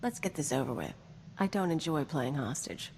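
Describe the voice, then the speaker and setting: Game casting: a bored, wry alien hostage, faint hiss behind. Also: female voice, low voice, very animated, normal speed, a little mellow, long reverb tail. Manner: bored, sardonic. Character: weary, wry alien woman hired as hostage. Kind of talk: video game dialogue acting.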